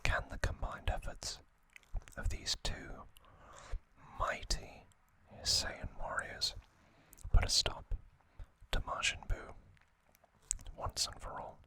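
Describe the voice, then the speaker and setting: A man whispering slowly and deliberately in breathy, hushed short phrases, as an ASMR host narrating trivia. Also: low-quality recording.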